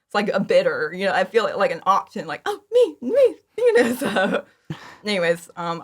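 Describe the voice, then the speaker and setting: Giggly woman chatting casually, slightly low voice. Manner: giggly, animated asides, frequent "like". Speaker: podcast guest, fan audio drama creator. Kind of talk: casual interview chat.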